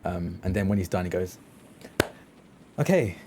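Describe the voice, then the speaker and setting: A man casually and haltingly describing his character's actions as a player in a tabletop game. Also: sits low, little pitch movement, noisy background.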